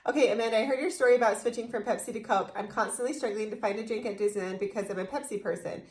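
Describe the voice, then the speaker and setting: A woman's voice: fairly high pitch, racing. Young woman, bubbly, in an echoey room. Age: young adult. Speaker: co-host of a theme park fan vlog. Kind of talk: casual chat, reading and answering fan questions. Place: indoors, small room in front of a backdrop.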